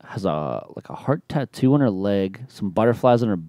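A man in casual podcast chat, answering haltingly with many fillers. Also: on the low side, expressive, dark tone, dry recording, studio quality. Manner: hesitant, lots of fillers. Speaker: podcast guest.

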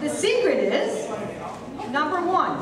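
A middle-aged woman doing a brassy, animated stand-up set on a comedy club stage, swooping between high and low for effect. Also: slow pace, heavy background noise. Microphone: handheld mic, recorded by far camera. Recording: roomy club sound from far off, crowd laughter. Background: audience laughter.

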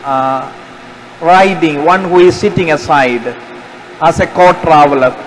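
A man in his forties, a priest, giving a slow, deliberate sermon at the pulpit microphone of a large cathedral, gesturing with raised hands as he speaks. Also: on the high side. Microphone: stand mic at the pulpit. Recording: long cathedral reverb, faint background noise.

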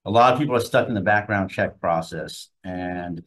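A man speaking as a senator at a hearing, interjecting with quick questions. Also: deep voice.

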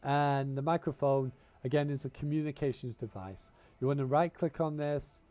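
A man's voice: mid-range voice, normal speed, mellow. A man with a cold and a cough, giving a casual step-by-step computer tutorial over a headset mic and repeating simple instructions. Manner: repeats "you wanna" instructions, informal and chatty. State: has a cold and a tickly cough. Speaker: channel host giving a tech tutorial. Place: home room, indoors. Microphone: gaming headset mic.

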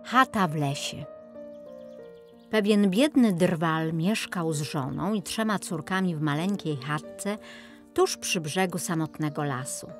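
A woman narrating a scripted children's fairy tale in a measured storytelling manner. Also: on the low side, expressive, soft background music. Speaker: audiobook narrator.

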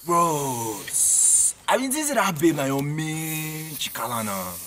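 A man's voice: a little high, very animated, slow pace, background noise. A man playing a stubborn, teasing young street guy in an audio drama, bantering in Nigerian Pidgin. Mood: teasing, indignant.

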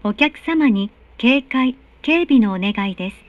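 High-pitched woman making polite train announcements. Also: lively intonation, unhurried, dry recording, lo-fi sound. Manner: formal, polite, carefully enunciated. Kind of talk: recorded train station announcements. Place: recorded announcement played inside subway car.

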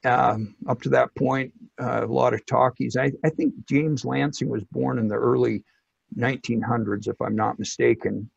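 Male, middle-aged, technical expert guest. Hesitant, informal, husky delivery; slightly dull recording. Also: mid-range voice, fairly even intonation, no room sound. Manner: halting, hesitant delivery with many pauses. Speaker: loudspeaker company technical director, interview guest. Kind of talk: informal interview explanation.

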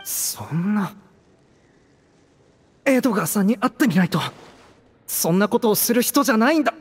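A woman's voice: medium pitch, animated, moderate pace, a little hiss or hum, lightly acted. Woman as a hot-headed young mercenary, widely swooping voice. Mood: shaken, stubborn. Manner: impassioned, stubborn, rough informal speech. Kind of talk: anime game dialogue. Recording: roomy reverb, faint music behind.

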